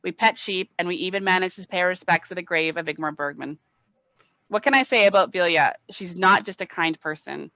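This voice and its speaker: A speaker giving a warm, admiring prepared tribute to a friend before handing over. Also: mid-range voice, brisk, no room sound, lo-fi sound. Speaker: friend introducing the guest.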